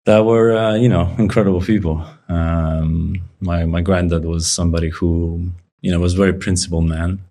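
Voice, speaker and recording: A young man who grew up in Cyprus, whose first language is Bulgarian, talking through his story in a relaxed, reflective way with lots of fillers. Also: sits low, moderate pace, few pauses. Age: young adult. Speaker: guest. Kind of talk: casual interview conversation.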